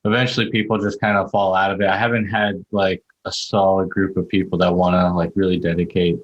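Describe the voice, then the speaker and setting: A man with a deep, low voice co-hosts an online podcast. He talks casually and slangily, as if among friends. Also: flat delivery, a little fast, muffled recording. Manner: slangy, informal. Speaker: podcast co-host. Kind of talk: casual chat. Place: online video call.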